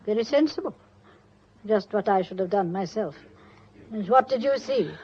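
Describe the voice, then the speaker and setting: A woman's voice: medium pitch, animated, moderate pace, darkish tone, muffled recording. A woman playing a shrewd, blunt elderly grandmother in a British radio drama, haughty and commanding, with a British accent. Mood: imperious, probing. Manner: crisp questioning, haughty, commanding.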